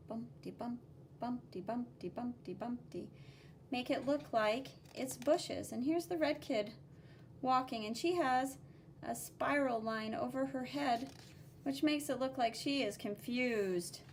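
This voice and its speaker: A woman with a very high voice reads a picture book aloud for children as an art teacher. She takes her time, stressing key words in a sing-song way and explaining the drawings simply. There is faint noise behind her. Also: a little slow. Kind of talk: expressive read-aloud with drawing commentary for children.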